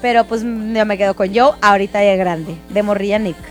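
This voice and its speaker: A woman's voice: mid-range voice, fairly even intonation, a little fast, soft background music. A young Mexican woman hosting a show, chatting in a gushing, slangy way about celebrity news. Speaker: radio show host. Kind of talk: casual entertainment chat.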